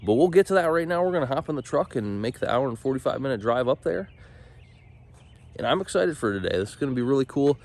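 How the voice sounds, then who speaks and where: A man's voice: medium pitch, animated, quick pace, a little mellow. A man with a nasal voice, stuffy from a cold, chatting casually to camera as an off-road vlogger. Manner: upbeat, chatty, easygoing. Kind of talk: casual vlog narration to camera.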